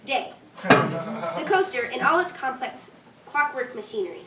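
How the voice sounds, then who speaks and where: A woman's voice: sits high, sing-song, measured pace. Woman narrating in an echoey room. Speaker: narrator reading stage directions. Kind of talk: scripted narration at a staged reading. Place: live reading in a room. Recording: echoey room, distant mic, faint noise.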